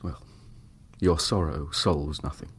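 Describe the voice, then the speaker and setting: An actor playing a troubled, proud Victorian husband in a radio drama, with a British accent and an earnest, formal, wounded manner. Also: male voice, a bass voice, slow, slightly rough, full character voice. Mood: cold, bitter. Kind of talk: radio drama acting, narrating his own story.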